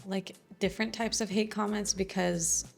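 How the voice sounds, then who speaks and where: A woman's voice: mid-range voice, steady tone, normal speed, creaky, soft background music. Thoughtful woman in her thirties. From United States. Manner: thoughtful, reflective, hedging. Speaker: guest. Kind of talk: casual chat. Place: living room at home.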